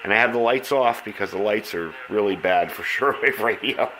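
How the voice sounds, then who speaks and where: A man's voice: fairly low pitch, normal speed, bright, forceful tone, a little hiss or hum, low-quality recording. A man with an American accent giving an informal, hands-on product demonstration, talking through each step as he goes. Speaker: radio hobbyist reviewing gear. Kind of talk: casual product review and demonstration.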